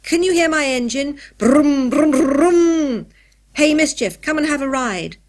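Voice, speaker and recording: A woman voicing a small, excitable, defiant boy in a children's story, loud and fierce. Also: on the high side, moderate pace, pitched above the performer's own voice, natural voice. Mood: excited, boastful.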